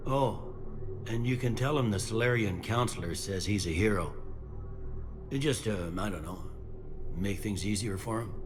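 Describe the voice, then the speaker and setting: A man plays a weary, stubborn police commander in a video game drama. The voice is very low, the delivery folksy and blunt, with music clearly behind it. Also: normal speed, pauses often, close to an everyday voice. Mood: grim, concerned.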